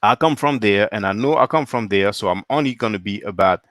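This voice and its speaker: A man's voice: lowish voice, fairly quick, a bit bright, no room sound, clean studio sound. A man from France hosting a solo live stream, reading viewer comments and asking pointed rhetorical questions.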